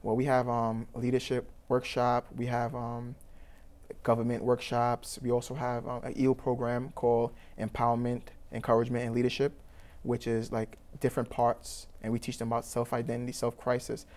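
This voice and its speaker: A man's voice: mid-range voice, monotone, moderate pace, darkish tone. A young man from Trinidad with a Trinidadian accent, answering interview questions in an earnest, rambling way full of fillers and restarts. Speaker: youth program founder.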